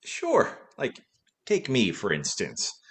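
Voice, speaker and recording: A man's voice: fairly low pitch, huge pitch swings, takes it slowly, fairly bright tone, heavily acted. A man playing a wry, wisecracking, tipsy former detective in a vintage-style radio play. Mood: amused, teasing. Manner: wisecracking, teasing.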